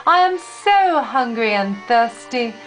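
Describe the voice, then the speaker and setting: A woman's voice: fairly high pitch, lively intonation, slow, a bit bright, soft background music, rough recording. A woman voicing a hungry, kindly little old gray man from a storybook, pleading as she reads.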